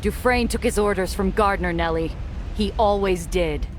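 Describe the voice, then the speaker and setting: A woman's voice: mid-range voice, moderate pace, noisy background, close to an everyday voice. A woman playing a tough, blunt, streetwise smuggler with a hard-edged delivery in a video game drama. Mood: hard, bitter.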